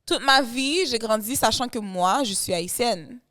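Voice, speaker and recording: A woman's voice: on the high side, measured pace, a bit bright. A woman, a guest singer chatting animatedly in an interview and mixing in English phrases. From Canada. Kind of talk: casual interview chat.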